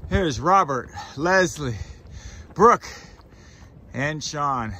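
A man's voice: a little high, expressive, very slow, sharp tone. Guy vlogging a hike on his phone, chatty and upbeat, wind noise outdoors. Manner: upbeat, chatty, narrating while climbing. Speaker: hiking vlogger. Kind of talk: casual hiking commentary. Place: outdoors on a desert mountain trail. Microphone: handheld camera or phone.

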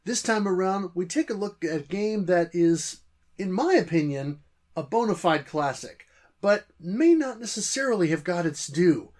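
A man's voice: high-pitched, darkish tone. A middle-aged man hosting a video game review, narrating from a script in a wordy, theatrically formal way.

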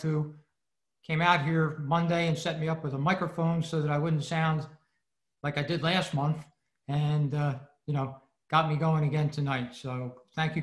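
Older man presenting, higher voice, roomy sound. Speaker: presenter at a club meeting. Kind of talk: informal prepared presentation. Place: indoors on a video call. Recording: roomy, echoing video call audio.

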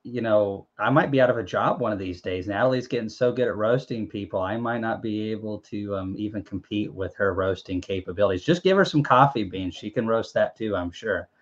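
A man's voice: on the low side, brisk, hardly any pauses. A young man hosting a casual live chat over a video call from a small room at home, rambling and joking with lots of fillers and restarts. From Tennessee, USA. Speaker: host. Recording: dull lo-fi stream audio.

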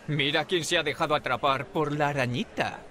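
A man's voice: fairly high pitch, brisk, sharp tone, a little hiss or hum. A man with a Castilian Spanish accent doing comic game dubbing as a sarcastic, exasperated, wisecracking superhero who swears theatrically. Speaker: video creator dubbing game bugs.

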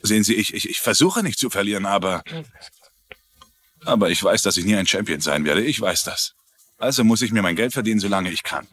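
A man's voice: fairly low pitch, fairly quick, a bit bright, natural voice. An actor playing a humble, polite, resigned journeyman boxer in a TV crime drama dub. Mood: earnest, resigned. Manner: polite, earnest. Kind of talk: German-dubbed TV crime drama.

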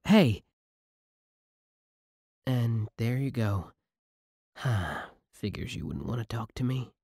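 A man's voice: medium pitch, a little mellow, husky, dry recording, heavily acted. A young man playing an obsessive, scheming villain who feigns kindness, in an intimate, theatrical audio roleplay. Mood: awkward, sympathetic. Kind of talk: ASMR audio roleplay, intimate dramatic acting.

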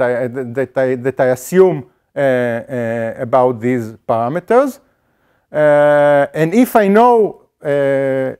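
A man's voice: fairly high pitch, darkish tone. A middle-aged man giving an academic statistics lecture in an auditorium, explaining step by step with frequent fillers and restarts.

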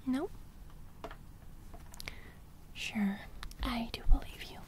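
A young woman whispering a gentle ASMR roleplay very close to the microphone, breathy, softly repeating phrases. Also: a little high, drawn-out words, noisy background. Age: young adult. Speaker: ASMR host roleplaying a sleep fairy. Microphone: close studio microphone.

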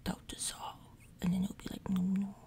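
A woman talking in a soft, breathy half-whisper, rambling and repeating herself in a casual ASMR chat while recovering from jaw surgery. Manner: rambling, repeats words in quick runs. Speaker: ASMR roleplay performer. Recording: low-quality audio with steady background machine noise.

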